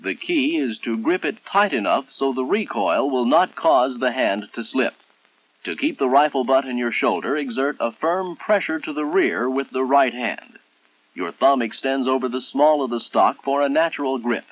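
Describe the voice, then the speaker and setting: An American man narrating a 1960s military training film in a formal, measured, instructional manner, on an old film soundtrack. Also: medium pitch, expressive, dry recording, phone-quality sound, lightly acted.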